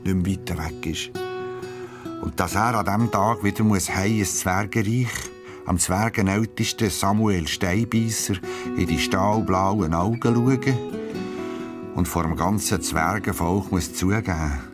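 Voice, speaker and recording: A man's voice: low-pitched, a little slow. A man with a Swiss German accent narrating a scripted story for children. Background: music, plucked guitar.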